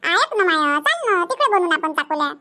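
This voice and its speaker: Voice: very high-pitched, sing-song, a little fast, pushed and bright, full character voice. A performer voicing a wheedling, insistent village boy in a cartoon comedy, with a Varhadi Marathi accent. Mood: persuading. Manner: insistent, cajoling.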